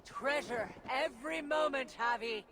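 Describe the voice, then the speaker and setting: An actress playing a cruel, gloating fire-giant villainess in a video game, taunting and theatrical. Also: female voice, measured pace, creaky. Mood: menacing. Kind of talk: dramatic video game acting. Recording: loud rumbling background noise.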